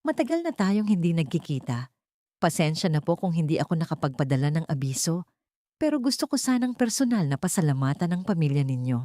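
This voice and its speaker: A woman voicing a wronged heiress in an audio drama, composed and quietly vengeful, speaking gently but with a cutting, authoritative edge. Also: low-pitched, sing-song, brisk, no room sound, studio quality. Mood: icy politeness. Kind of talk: dramatic audio story acting.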